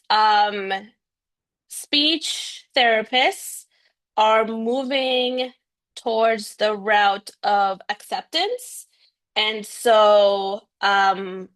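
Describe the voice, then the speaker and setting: A woman's voice: fairly high pitch, a bit bright. A young woman, a speech therapist, answering interview questions earnestly with frequent "um"s and "you know"s. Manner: earnest, speaks of stuttering, fillers like um and you know. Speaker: bilingual speech-language pathologist, guest.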